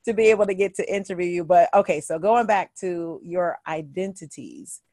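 A woman's voice: lowish voice, animated, normal speed, mellow, no room sound, studio quality. A woman interviewing a friend in a casual, friendly way, using slang like "y'all" and "dope.".

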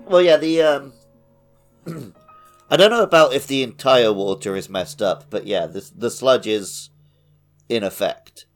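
A man's voice: medium pitch, a little slow, pauses often, soft background music. A man co-hosting a gaming podcast, bantering casually in a playful, jokey way. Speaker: podcast co-host.

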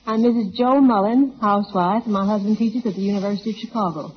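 A woman's voice: mid-range voice, fast, a little hiss or hum. A middle-aged woman answering as a contestant on an old radio quiz show. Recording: dull vintage radio, slightly distant.

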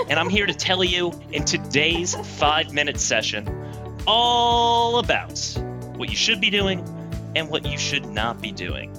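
Guy doing improvised upbeat training spiel, polished presenter delivery, music playing behind him. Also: a little high. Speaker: guest, comedic corporate trainer.

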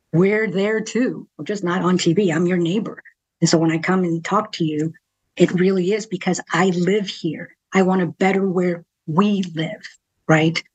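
A woman's voice: fairly low pitch, breathy edge. A middle-aged woman from Texas, a TV news anchor, talking candidly as a podcast guest, laughing often and tagging "right?" onto her points. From Texas, USA. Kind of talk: casual interview conversation.

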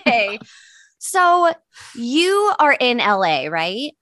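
An American woman of thirty-nine hosting a comedy podcast in casual chat, candid and casually profane, quick to laugh. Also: a little high, very animated, pushed and bright, dry recording. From Pittsburgh, United States. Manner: laughs readily.

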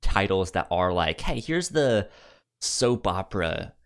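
A man with a low-pitched voice talks casually as a podcast host. His delivery is halting, with stammered syllables and repeated words in a loose, conversational flow. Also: sing-song.

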